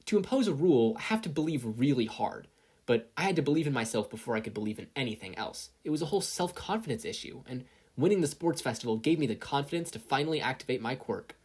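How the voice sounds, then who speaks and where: An earnest teenage boy hero student from a story, put on by a reader, pitched well up from a normal voice. He sounds eager and a little sheepish, with a lively, nervous lilt. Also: fast talker, husky.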